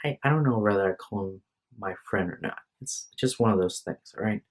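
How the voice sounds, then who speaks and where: A tired young man with a lowish voice rambles into a phone microphone at home. He talks in a hesitant, apologetic way and keeps breaking off and restarting his sentences. The phone mic gives it a close, casual vlog sound. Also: brisk. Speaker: vlogger. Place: room at home.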